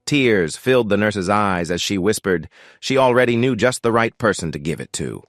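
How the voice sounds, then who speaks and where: A man narrating an audio drama in a steady, measured storytelling voice on a close mic. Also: lowish voice, no room sound.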